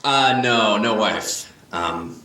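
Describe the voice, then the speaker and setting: An actor playing a lonely amateur stand-up comic in a sitcom podcast, halting and confessional. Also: male voice, fairly low pitch, pushed and bright, distant mic, heavily acted. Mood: dejected. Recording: echoey room, off mic.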